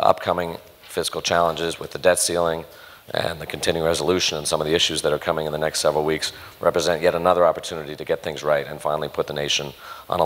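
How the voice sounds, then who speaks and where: A man with a hoarse, failing voice giving prepared welcome remarks at a breakfast event. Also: a bass voice, a bit bright, in a large hall. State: losing his voice, hoarse. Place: conference room at a live breakfast event.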